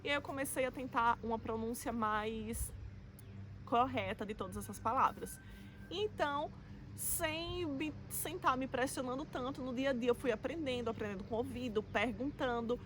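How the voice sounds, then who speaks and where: Chatty young woman with a slightly high voice. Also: fairly bright tone, slight background noise. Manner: chatty, repeats words while explaining. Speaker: vlogger. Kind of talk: casual talk to camera.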